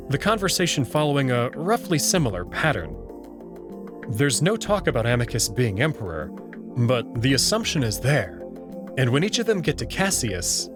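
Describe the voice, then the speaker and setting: Man narrating a story over music. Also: mid-range voice. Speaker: narrator reading a visual novel aloud. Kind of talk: scripted first-person story narration. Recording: music playing behind the voice.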